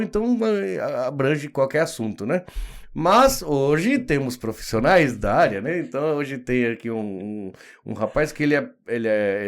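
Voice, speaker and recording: Um homem brasileiro de uns quarenta anos apresentando um bate-papo descontraído, falante, rindo com frequência e cheio de "né". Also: male voice, mid-range voice. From Brazil. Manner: chatty, laughs often, many fillers like "né". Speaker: host. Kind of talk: casual interview chat.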